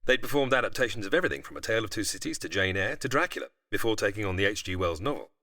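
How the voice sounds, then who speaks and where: A man's voice: lowish voice, animated, rapid-fire, bright, forceful tone, dry recording, studio quality. A man with a British accent, a podcast guest giving scripted explanations in a narrating style.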